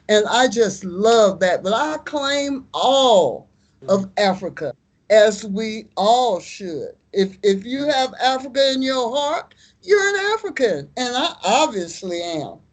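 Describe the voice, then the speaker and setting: Slow-speaking American woman in her seventies. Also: fairly high pitch, animated. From United States. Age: 70s. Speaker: artist and community activist. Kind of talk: interview answers.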